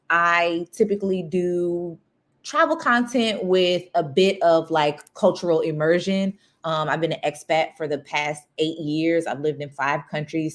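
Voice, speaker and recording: Lively woman with a low voice. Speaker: panelist, travel creator and journalist. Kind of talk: casual panel introduction.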